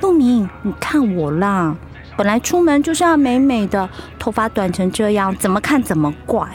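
An actress with a Taiwanese accent playing an upbeat, teasing wife in recovery from illness in a radio drama, playful and reassuring. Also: female voice, medium pitch, very animated, normal speed, a little mellow, soft background music, lightly acted. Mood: teasing, fussing. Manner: playful, reassuring, chiding her husband. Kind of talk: Mandarin radio drama acting.

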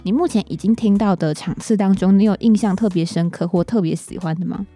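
A woman's voice: medium pitch, a little fast, mellow, no echo, soft background music. A woman with a Taiwanese Mandarin accent hosting a podcast in a casual, chatty conversational way. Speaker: podcast host.

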